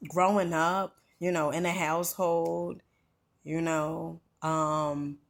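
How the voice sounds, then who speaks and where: A woman's voice: sits low, flat delivery, takes it slowly, vocal fry. A middle-aged woman from New Jersey giving an earnest motivational talk, conversational and full of "you know" and "right?".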